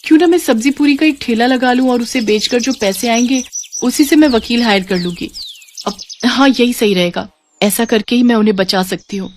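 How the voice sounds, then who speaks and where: A woman's voice: fairly high pitch, fairly quick, slight background noise, pitched above the performer's own voice, close to an everyday voice. Woman acting an earnest, devout, determined wife. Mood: resolved. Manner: earnest, reassuring. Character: devout, devoted young mother and wife, determined.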